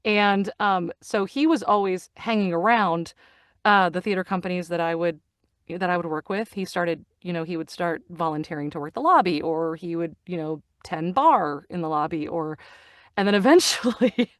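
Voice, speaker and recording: This is a middle-aged woman talking as a guest, winding through stories with plenty of fillers and restarts. Her voice is smooth and resonant. The recording is dry, with no room sound. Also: lowish voice, normal speed, no room sound. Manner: chatty, animated storyteller with frequent fillers and laughs. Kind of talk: casual interview chat.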